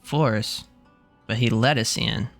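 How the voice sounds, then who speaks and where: A man's voice: mid-range voice, slow, fairly bright tone, faint music behind. A man giving casual, joking gameplay commentary in informal, bro-ish slang. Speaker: game streamer.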